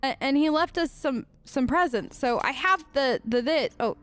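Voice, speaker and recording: A woman giving chatty, excitable gameplay commentary as a streamer, talking straight to her viewers. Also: high voice, moderate pace. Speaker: let's play streamer.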